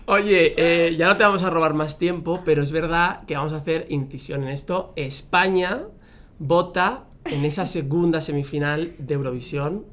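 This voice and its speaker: A man's voice: on the high side, moderate pace, fairly bright tone. Upbeat, chatty male show host. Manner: chatty, upbeat, laughs often. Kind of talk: casual entertainment chat.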